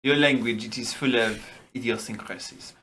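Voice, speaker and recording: A man's voice: mid-range voice, normal speed, pushed and bright, a little hiss or hum. A man playing a tabletop game who argues with formal, stilted phrasing. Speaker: player. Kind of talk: casual tabletop play.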